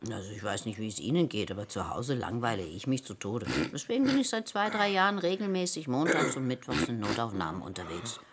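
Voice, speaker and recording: An actress playing a bored, eccentric emergency-room onlooker, chatting matter-of-factly in a radio play. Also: female voice, rumbling low, big pitch swings, brisk, few pauses, a little hiss or hum, heavily acted. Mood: chatty, self-satisfied. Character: bored eccentric woman who watches emergency rooms as hobby.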